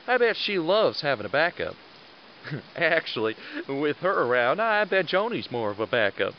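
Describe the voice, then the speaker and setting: An actor playing a gentle, tired soldier father in an audio drama, speaking wistfully and haltingly as he longs for home. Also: a little high, big pitch swings, muffled recording, a little hiss or hum. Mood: fond, tired. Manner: wistful, halting, stammering delivery.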